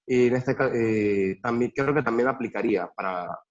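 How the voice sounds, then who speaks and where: A young man, a law student speaking haltingly in an online class discussion. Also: mid-range voice, a little mellow. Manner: hesitant, halting. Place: video call. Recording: dull lo-fi video call audio.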